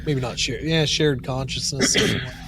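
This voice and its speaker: A man's voice: medium pitch, a little fast, creaky. A man chatting casually as a podcast co-host, tentatively repeating and rephrasing as he goes.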